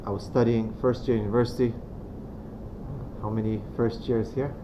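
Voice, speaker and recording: A man from Romania giving a religious talk to students as a guest speaker. He is soft-spoken and unhurried, and he pauses often and uses many fillers. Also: mid-range voice, flat delivery. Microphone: venue microphone. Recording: dull lo-fi sound with clear background noise.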